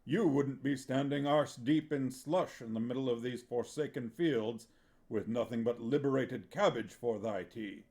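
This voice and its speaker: A man's voice: mid-range voice, unhurried, darkish tone, lightly acted. A man reading aloud, voicing a pompous middle-aged male actor-manager who declaims theatrically. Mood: hearty, commanding.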